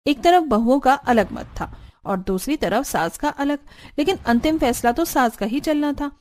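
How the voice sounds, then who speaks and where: A woman's voice: high voice, expressive, moderate pace, darkish tone. A woman reading scripted narration for a cartoon story.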